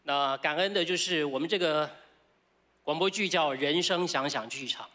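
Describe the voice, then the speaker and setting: A middle-aged man with a Taiwanese accent speaks as an award winner on a theatre stage. His voice is forceful and bright, set a little higher than most men's. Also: normal speed. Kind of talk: acceptance speech. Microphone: stand microphone.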